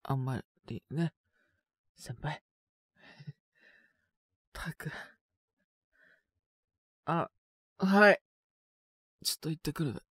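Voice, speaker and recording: A man playing a young student with a cold in a voice drama, husky and prone to coughing, speaking in a casual, hesitant, youthful way. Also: a little high, expressive, very slow, long pauses, a bit bright, no echo, full character voice. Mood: exasperated, embarrassed. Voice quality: husky, with frequent coughing fits. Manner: casual youthful speech, trailing hesitant phrases. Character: young male student with a cold, polite, mildly exasperated. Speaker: voice actor. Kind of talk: one-sided situation voice drama.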